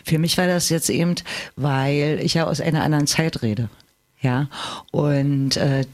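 A man's voice: fairly high pitch, steady tone, measured pace. A middle-aged or older man talking casually and personally, asking questions and sharing experience. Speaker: conversation participant asking questions.

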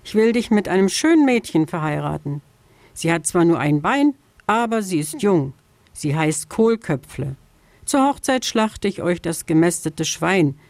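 A woman's voice: on the low side, big pitch swings, unhurried, darkish tone, dry recording. A kindly old farmer grandfather gives friendly instructions, as a woman reader puts him on. The sound is dry and close, like an old children's radio story.

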